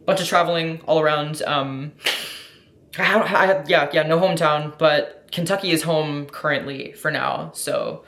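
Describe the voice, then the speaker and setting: A woman's voice: low-pitched, measured pace, fairly bright tone. An American woman chatting casually in a Q&A vlog, effusive and slangy, swearing freely and peppering everything with "like". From United States. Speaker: vlogger answering questions. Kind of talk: casual Q&A chat. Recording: roomy echo.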